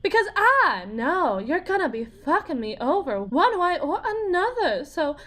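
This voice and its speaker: A woman playing a desperate, wounded, wry farm wife in an ASMR drama roleplay, with a folksy, sardonic, halting delivery. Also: very high-pitched, very animated, sharp tone, heavily acted. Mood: wary, sardonic.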